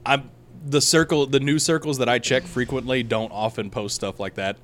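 A man's voice: mid-range voice, fairly even intonation, moderate pace, fairly bright tone. A man hosting a casual podcast chat with a sore, scratchy throat, talking in a rambling way full of ums and restarts. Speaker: podcast host.

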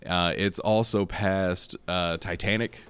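A man hosting a casual news chat podcast, hoarse from losing his voice, rambling with fillers and frequent laughs. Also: low-pitched, steady tone, slow pace, fairly bright tone. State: hoarse, lost voice. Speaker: podcast host.

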